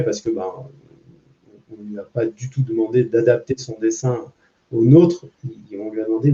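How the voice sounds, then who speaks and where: Male panel guest, French, very soft-edged dark timbre, loose informal hesitant delivery, dull recording. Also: medium pitch. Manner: informal, hesitant, searching for words. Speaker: comics artist, guest on a panel. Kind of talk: casual panel talk. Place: public panel at a comics festival.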